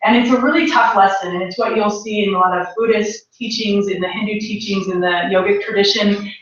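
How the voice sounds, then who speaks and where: A woman speaking reflectively and informally on a panel, thoughtful and hesitant, with frequent pauses. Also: mid-range voice, steady tone. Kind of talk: reflective informal talk to an audience. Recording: echoey room, distant mic, dull sound.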